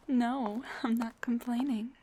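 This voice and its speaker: A woman acts out a sweet, bashful female dullahan in an intimate audio roleplay. Her voice is fairly high, soft and breathy, and she speaks very slowly, with flirty hesitations. A faint noise sits behind her. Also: steady tone, poor audio. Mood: amused.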